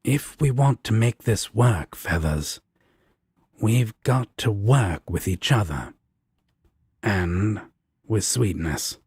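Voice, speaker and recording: Man playing a low, husky, slow-talking teasing demon. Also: animated, a little mellow, no room sound, studio quality, exaggerated voice. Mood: soft, sincere. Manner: unhurried, teasing, casual. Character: teasing, soft-hearted male demon roommate, gruffly affectionate. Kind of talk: intimate audio roleplay acting.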